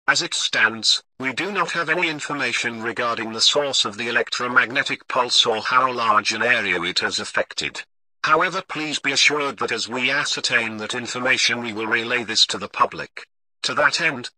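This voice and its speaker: A man playing a calm, formal television emergency news announcer, reading a scripted bulletin in a measured way. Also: mid-range voice, no room sound, low-quality recording. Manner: formal, measured, read-out delivery.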